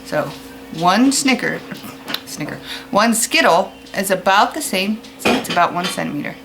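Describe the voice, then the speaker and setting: A woman's voice: mid-range voice, animated, moderate pace, vocal fry. American woman teacher, noisy recording. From United States. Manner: explanatory, asks questions of young listeners. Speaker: fifth-grade teacher. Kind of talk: children's read-aloud lesson with informal asides.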